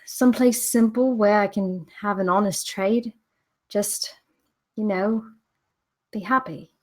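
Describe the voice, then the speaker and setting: A woman's voice: medium pitch, fairly even intonation, takes it slowly, a little mellow, close to an everyday voice. A woman playing a young female companion, wistful and dryly skeptical, at a script table read. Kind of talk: table-read character acting.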